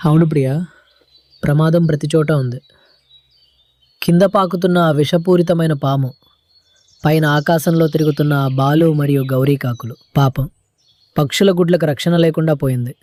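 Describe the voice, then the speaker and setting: A performer voicing a wise, steady pigeon, measured and instructive, in a cartoon story. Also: medium pitch, dry recording, natural voice. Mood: grave. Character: wise, steady pigeon giving firm advice.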